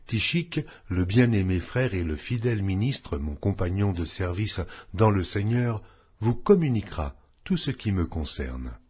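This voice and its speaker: The audio is a little dull and lo-fi. A man reads scripture aloud in a husky voice, with solemn, declamatory delivery. His phrasing is measured, yet his intonation moves widely up and down. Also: low-pitched. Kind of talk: scripted Bible reading.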